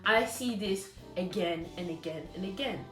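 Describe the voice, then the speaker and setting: A woman's voice: fairly low pitch, normal speed, a bit bright, roomy sound, faint music behind. A woman giving a recorded lecture to trainee teachers, blunt and scolding, driving her points home with repetition.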